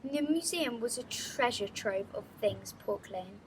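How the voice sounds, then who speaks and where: A woman reading a children's book aloud as an expressive storytelling narrator. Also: a little high, unhurried, a little hiss or hum, poor audio.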